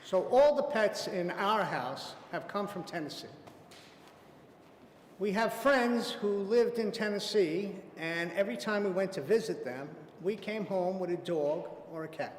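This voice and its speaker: Man, high voice, amused storytelling, lingers on punchlines, reverberant hall. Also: expressive, unhurried, a little hiss or hum. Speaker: storyteller at a theater event. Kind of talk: amused personal anecdote told aloud. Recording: echoey hall, faint ambience.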